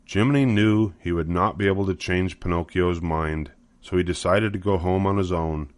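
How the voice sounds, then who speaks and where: A man's voice: low voice, normal speed, dry recording. An older man narrating a children's storybook from a script.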